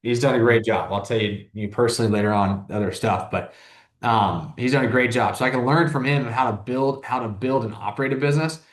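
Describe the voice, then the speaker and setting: Fast-talking man in his thirties, echoey room. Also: mid-range voice. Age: 30s. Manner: rambling, heavy use of "like", frequent restarts. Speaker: business owner, interview guest. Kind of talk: casual interview talk.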